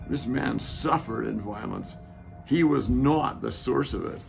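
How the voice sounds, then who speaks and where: A man playing a reflective, doubting Roman soldier who is proud of his rank, speaking in a measured, halting way in a dramatic reading. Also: mid-range voice, lively intonation, vocal fry, faint music behind. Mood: pensive. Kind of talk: dramatic reading of a church passion play.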